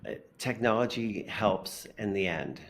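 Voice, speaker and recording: A man hosting an interview podcast, relaxed and quick to laugh while asking questions. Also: fairly low pitch, vocal fry, slight background noise. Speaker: podcast host.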